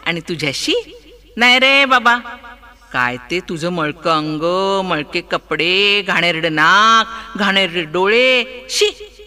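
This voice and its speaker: A man's voice: high voice, animated, slow pace, pushed and bright, full character voice. A man voicing a vain, fussy male parrot who is proud of his clean feathers and talks with haughty disgust in a storybook tale.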